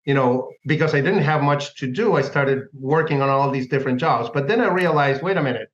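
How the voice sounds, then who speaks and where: A man's voice: medium pitch, a little fast. A man from Argentina with an Argentine Spanish accent, a guest telling his life story in a casual interview, often hesitating and restarting his sentences. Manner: hesitant, many restarts, laughs easily. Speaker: guest, laundromat owner and business broker. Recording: echoey room, dull lo-fi sound.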